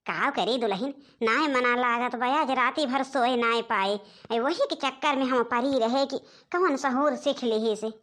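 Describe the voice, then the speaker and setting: A high-pitched village mother-in-law from an animated comedy, played by a woman. She has an Awadhi accent. She frets, laments and scolds in a nagging way. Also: measured pace. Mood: troubled.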